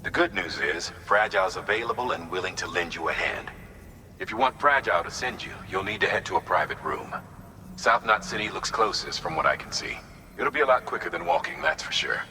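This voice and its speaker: An actor playing a steady, authoritative male commander giving a measured mission briefing in a video game. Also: sits low, full character voice. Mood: practical. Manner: measured, instructive. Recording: long hollow reverb, some noise.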